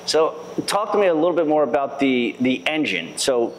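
A man's voice: fairly high pitch, normal speed, a crowd in the background, low-quality recording. A man hosting a casual interview, asking questions conversationally and offering encouraging remarks. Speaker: interviewer, car dealership general manager. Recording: clear steady background noise.

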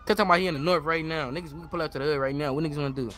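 A man's voice: a little high, fairly even intonation, racing, a bit bright, a little hiss or hum. An American man in improvised street gang roleplay chat, slangy, profane and urgent. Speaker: online roleplay player.

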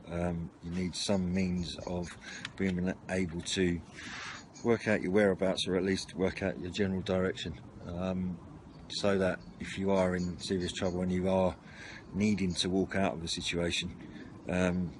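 A man giving an unscripted, matter-of-fact walkthrough of gear, speaking haltingly, recorded outdoors at a table on a camera mic. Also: low voice, level tone. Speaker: presenter of outdoor gear videos. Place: outdoors at a wooden garden table by a house. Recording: noisy outdoor camera audio.

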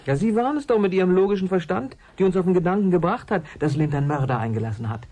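A man's voice: sits high, expressive, very fast, warm tone. An actor playing an earnest, agitated man in a German radio play, with an urgent, pleading way of talking. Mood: insistent. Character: earnest, agitated man defending his dead mentor.

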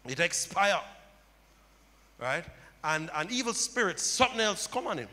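A middle-aged male pastor preaching a sermon on a church stage into a handheld mic, building to loud impassioned cries. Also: sits high, very animated, normal speed. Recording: long hall reverb.

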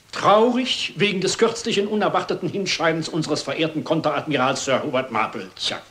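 An actor playing a courteous, dithering bishop who chairs meetings in a German crime radio play, formal and hesitant. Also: male voice, fairly high pitch, normal speed, fairly bright tone, lightly acted. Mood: ceremonious.

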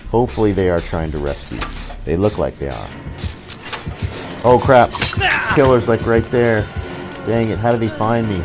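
Lowish voiced guy streaming a game, casual play by play, game music in the back. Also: normal speed, long pauses. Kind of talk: casual live gameplay commentary.

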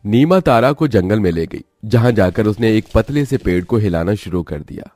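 A man's voice: lowish voice, lively intonation, normal speed, darkish tone, no echo, clean studio sound. A man narrating a scripted moral story as a storyteller.

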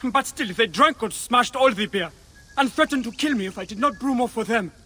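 High, sharp flustered delivery man. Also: a little fast, heavily acted. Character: flustered, defensive male beer delivery man. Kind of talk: video game cutscene acting.

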